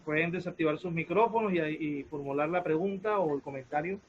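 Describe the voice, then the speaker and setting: A man's voice: on the high side, brisk. A man moderating an online discussion in a polite, informal way. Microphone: video call. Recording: dull noisy online call.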